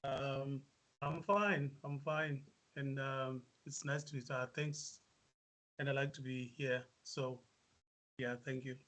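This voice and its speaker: A South African musician answering interview questions, hesitant with many fillers. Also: male voice, medium pitch, fairly even intonation, slow pace, fairly bright tone. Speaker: musician guest.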